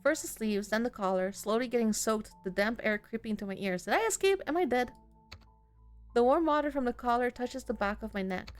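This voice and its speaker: A woman's voice: fairly high pitch, a little fast, faint music behind. A woman reading a visual novel aloud as she plays, narrating expressively and breaking into casual, exclaiming commentary. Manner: reactive, exclaiming, casual swearing.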